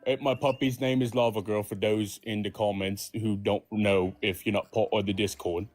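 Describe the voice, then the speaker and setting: A man's voice: lowish voice, level tone, normal speed, darkish tone, dry recording, faint music behind, close to an everyday voice. A man voicing a folksy, easily offended male Martian fire yeti with a Southern US accent, rambling in a comedy podcast. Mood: indignant. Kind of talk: comedic improv character.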